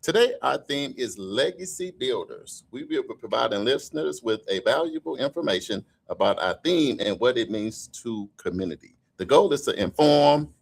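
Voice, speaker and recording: A man's voice: mid-range voice, expressive, moderate pace, vocal fry, hardly any pauses. A man hosting a morning radio show in a studio, talking in an upbeat, easygoing way. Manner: upbeat, easygoing, laughs between lines. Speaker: radio host. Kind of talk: casual morning radio chat.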